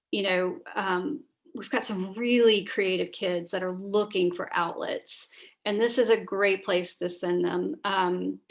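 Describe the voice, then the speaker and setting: A woman's voice: mid-range voice, vocal fry, a bit bright. A woman with a tired, scratchy voice, chatting through a screen-share training on a video call, with many fillers and restarts. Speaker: school librarian leading teacher training.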